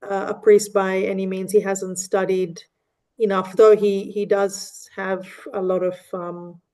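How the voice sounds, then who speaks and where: A woman speaking in a relaxed online discussion, hesitant with many fillers and restarts. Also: mid-range voice, a little slow. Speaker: mosque community member. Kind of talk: casual discussion. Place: online meeting.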